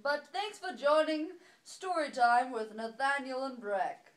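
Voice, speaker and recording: A woman's voice: high-pitched, expressive, measured pace. A woman reading a storybook aloud to a small child at home, chatting casually in between in a playful, sing-song way. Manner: playful, sing-song child-directed talk with dramatic gasps.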